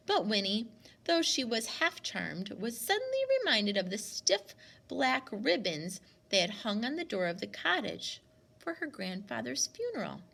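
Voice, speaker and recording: Expressive woman reading aloud, slow and creaky. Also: medium pitch. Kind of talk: expressive storybook narration.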